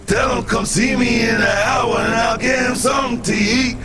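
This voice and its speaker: A man's voice: very high voice, steady tone, moderate pace, loud music behind the voice. A man playing a defiant anti-establishment street singer in a game, delivering rhymed protest in a sing-song, declamatory way. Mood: grudging. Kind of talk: sung, rhyming protest speech in a game.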